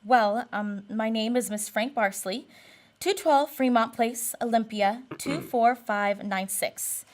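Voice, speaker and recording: A woman plays the mystery woman of a radio noir drama. Her voice is on the high side, with a polite, hesitant and anxious manner that keeps her secrets. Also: slow. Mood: guarded.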